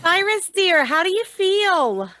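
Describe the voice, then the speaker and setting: A woman's voice: very high voice, big pitch swings, takes it slowly, bright, forceful tone, slight background noise, exaggerated voice. A woman playing a sugary, doting wife in a radio drama, cooing and gushing.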